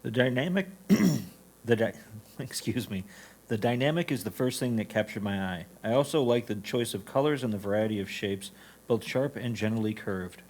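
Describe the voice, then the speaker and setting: A man's voice: on the low side, moderate pace, darkish tone. A man reading photo critiques aloud at a club competition. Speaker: reader of judges' critiques.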